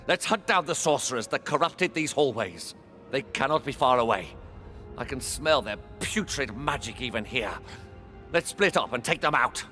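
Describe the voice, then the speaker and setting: Intense, bright-voiced fantasy knight. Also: male voice, mid-range voice. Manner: intense, urgent, emphatic. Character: earnest, driven male knight, vengeful young warrior. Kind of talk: dramatic video game acting.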